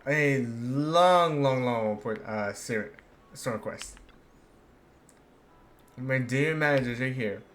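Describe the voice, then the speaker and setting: A man's voice: medium pitch, a bit bright. A man streaming a video game with casual commentary, stumbling a little as he reads dialogue aloud. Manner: halting, stumbles while reading aloud. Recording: clear background noise.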